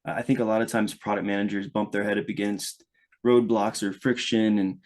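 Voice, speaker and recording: A man's voice: on the low side, fairly even intonation, brisk. A man from Louisiana, a guest product manager, telling his story thoughtfully, with frequent restarts. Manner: thoughtful, restarts often, speeds up when engaged. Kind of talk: conversational podcast interview.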